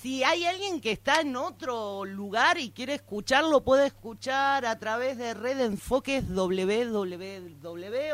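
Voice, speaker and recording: A woman's voice: a little high, dry recording. Casual Argentine woman hosting radio. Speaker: radio host. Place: radio studio.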